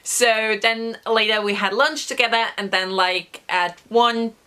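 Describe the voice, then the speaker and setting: Hoarse young woman chatting excitedly. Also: a little high, a little slow. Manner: chatty, many fillers, excited retelling. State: hoarse, recovering from lost voice. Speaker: vlogger. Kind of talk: casual storytelling vlog.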